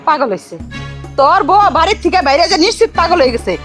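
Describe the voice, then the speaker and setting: Mocking middle-aged mother-in-law, speech, shrill and quick, played by a woman. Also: lively intonation, a bit bright, faint music behind. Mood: scornful. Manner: mocking, dismissive. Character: scornful, sharp-tongued village mother-in-law.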